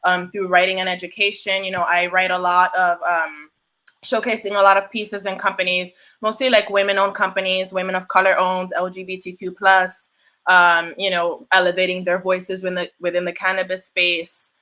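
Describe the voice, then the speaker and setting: A woman's voice: fairly low pitch, level tone, normal speed, bright, forceful tone. A woman from New York speaking informally as a panelist, introducing herself and her work. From Bronx, New York, United States. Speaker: panelist, yoga teacher and cannabis educator.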